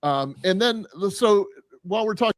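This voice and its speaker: A man's voice: high-pitched, lively intonation, moderate pace, dry recording. A man on a podcast casually explaining a news story, retelling exchanges as quoted dialogue. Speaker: podcast panelist.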